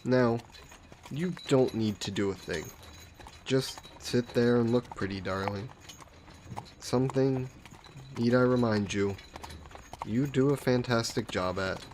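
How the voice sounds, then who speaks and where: A man playing a suave, possessive vampire lord in an intimate fantasy audio roleplay, speaking softly and coaxingly with condescending affection, as if hypnotizing a captive. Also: mid-range voice, slow pace, long pauses, slight background noise, lightly acted. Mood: smug, persuasive. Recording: steady background carriage and rain ambience. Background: horse-drawn carriage and rain sound effects.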